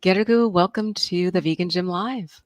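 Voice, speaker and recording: Low-voiced woman hosting a stream. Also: moderate pace, no room sound. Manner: friendly, scripted welcome. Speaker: host. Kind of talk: live stream interview hosting.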